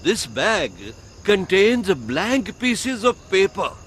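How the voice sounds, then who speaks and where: An actor playing a polite, halting holy man's attendant with an Indian accent, making an announcement in a radio drama. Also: high voice, very animated, a bit bright, slight background noise, exaggerated voice. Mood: earnest. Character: deferential holy man's attendant addressing a gathering.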